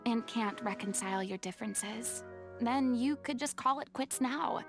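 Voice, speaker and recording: A woman voicing a poised young woman who firmly scolds and guides a quarreling theater troupe. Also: high-pitched. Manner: stern, firm, theatrical. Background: game music.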